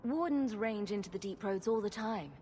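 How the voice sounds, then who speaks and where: A woman's voice: medium pitch, expressive, moderate pace, mellow, slight background noise, close to an everyday voice. A woman playing a wry, self-assured adventurer champion in a video game cutscene, with a dry, questioning manner. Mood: probing.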